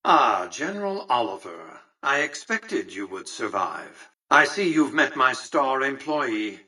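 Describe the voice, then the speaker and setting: An imperious elderly tycoon from a video game. His voice is forceful and bright with a husky grain, set slightly away from the mic. He speaks formally and precisely, with cold, condescending superiority. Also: mid-range voice, sing-song, slow pace, recorded from a distance, exaggerated voice. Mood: smooth, superior. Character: cold, imperious old male tycoon ruling a casino city. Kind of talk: scripted video game character dialogue.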